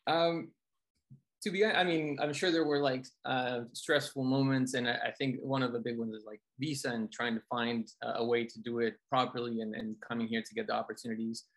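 A man's voice: mid-range voice, little pitch movement, a little fast, a bit bright, low-quality recording. A man from Colombia, a Spanish speaker, telling his story casually as an interview guest. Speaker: interview guest, film composer.

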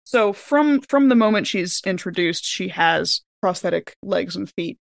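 A woman talks casually as a podcast panelist, with an animated storytelling manner. Her pitch sits in the middle for a woman, and the sound is dry and close. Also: a little slow, gravelly, no echo. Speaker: podcast panelist and tabletop player. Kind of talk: casual chat.

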